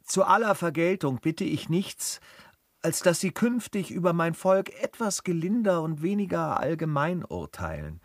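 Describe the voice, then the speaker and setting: An actor playing a noble, dignified travelling gentleman in a classic radio play, speaking in a measured, formal, earnest way. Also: fairly high pitch, dry recording, clean studio sound. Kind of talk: German radio drama acting of a classic stage comedy.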